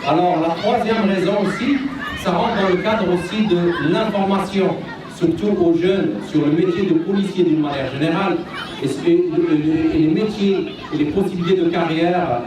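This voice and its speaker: A man gives a hesitant speech as a federal police officer, pausing and correcting himself often. His fairly high voice comes through an echoey PA heard from far off. Crowd noise hums behind him. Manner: hesitant, many restarts and fillers. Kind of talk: public speech at an outdoor festival. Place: outdoor community festival. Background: crowd chatter.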